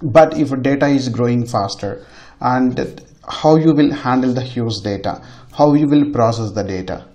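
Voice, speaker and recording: A man with a mid-pitched voice is teaching in an informal tutorial style. He explains as he goes, like an instructor walking through a demonstration. He often says a phrase again to drive it home. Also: normal speed, a little mellow, dull audio. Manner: repeats phrases for emphasis. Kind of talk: informal technical lecture over a screen demonstration.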